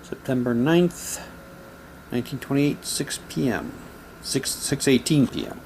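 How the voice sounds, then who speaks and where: A man's voice: mid-range voice, a little slow, a little mellow. Man in casual unboxing talk, noisy recording. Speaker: hobby vlogger unboxing audio drama sets. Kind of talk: casual unboxing talk, reading printed props aloud.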